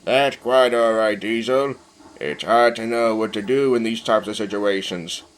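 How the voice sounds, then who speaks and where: A man playing a stern but fair railway controller who speaks in a formal, measured, admonishing way. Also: lowish voice, close to an everyday voice. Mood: reassuring.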